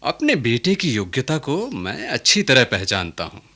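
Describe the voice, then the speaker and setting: A man's voice: medium pitch, big pitch swings, normal speed, bright, forceful tone. An actor playing an affectionate but firm middle-aged merchant, a husband and father, in a radio play. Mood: assured. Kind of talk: Hindi radio play acting.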